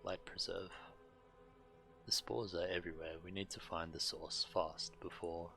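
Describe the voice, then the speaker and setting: Dark fantasy game hero, weary grim man, low gravelly voice, terse and grave. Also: little pitch movement, measured pace, a little hiss or hum, full character voice. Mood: wary. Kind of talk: dramatic video game voice acting.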